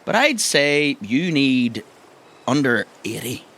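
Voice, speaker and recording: A man's voice: medium pitch, big pitch swings, slow pace, fairly bright tone. A man with an Irish accent narrating a tabletop roleplaying game as game master, descriptive, with colloquial asides. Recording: music behind the voice.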